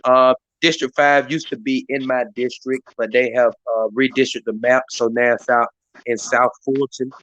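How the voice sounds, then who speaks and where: Hoarse guy rambling thru a live stream, pretty flat delivery, dry sound. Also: mid-range voice, moderate pace, dry recording, lo-fi sound. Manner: rambling, many fillers and restarts. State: hoarse, voice partly lost. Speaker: community activist hosting a live stream meeting. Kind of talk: casual hosted talk. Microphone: live stream mic.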